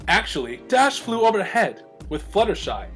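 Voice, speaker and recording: A man's voice: sits high, sing-song, normal speed, fairly bright tone, soft background music. A man reading scripted fan fiction as a storyteller. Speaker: story reader.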